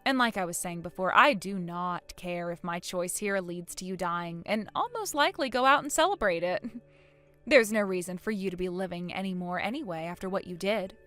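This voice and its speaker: Bright-voiced, emphatic mother played by a woman. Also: fairly low pitch, brisk, soft background music. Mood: cold, scornful. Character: fiercely protective, outspoken, emotional mother of a young son. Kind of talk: melodramatic audio story acting.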